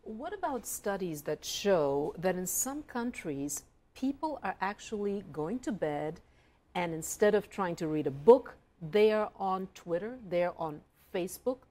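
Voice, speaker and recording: A woman's voice: medium pitch, very animated, unhurried, mellow. A woman presenting the news and putting interview questions in a broadcast style.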